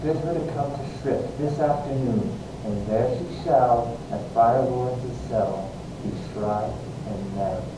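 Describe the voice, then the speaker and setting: A man's voice: takes it slowly, close to an everyday voice. A man playing an earnest young lover in an amateur Shakespeare reading. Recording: very echoey, distant, noisy with rumble.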